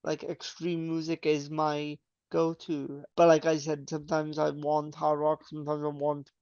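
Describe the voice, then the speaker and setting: A man's voice: a little high, monotone, moderate pace, darkish tone, clear, resonant tone, dry recording, dull audio. A young man co-hosting a podcast in casual chat, rambling with many fillers and restarts. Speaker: podcast co-host.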